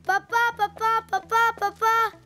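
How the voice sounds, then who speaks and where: A very high, childlike cartoon voice for a little boy donkey. He chatters to himself at an unhurried pace, cheerful, imaginative and expressive. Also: faint music behind, heavily acted. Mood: excited, proud.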